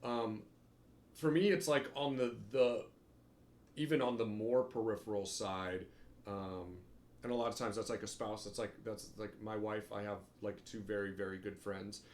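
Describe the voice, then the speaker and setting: A man's voice: fairly low pitch, steady tone, moderate pace, a little hiss or hum. A man in casual stream conversation, rambling through his thoughts with lots of filler words and plenty of swearing. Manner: rambling, profane, heavy on fillers like "like" and "right". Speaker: podcast host. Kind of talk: casual hobby stream chat.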